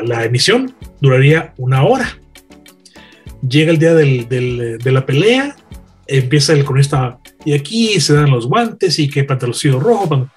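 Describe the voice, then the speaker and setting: Husky Mexican man telling radio anecdotes. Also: on the high side. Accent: Mexican Spanish. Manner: storytelling, earnest and didactic. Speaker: veteran radio announcer. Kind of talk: anecdotal conversation.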